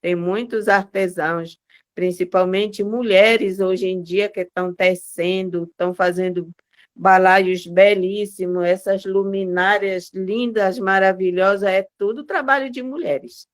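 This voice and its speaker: A woman whose first language is Wanano talks slowly and with quiet pride about the crafts she sells, restarting her phrases as she goes. She is an artisan from the Amazon, heard over a video call. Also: mid-range voice, steady tone. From Amazonas, Brazil. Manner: slow, proud, plain speech with short repeated starts.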